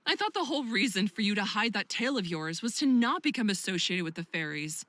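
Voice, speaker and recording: A woman playing a caring but firm friend in a visual novel, questioning in a measured, probing way. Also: mid-range voice, animated, fairly bright tone, dry recording, lightly acted. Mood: reproachful.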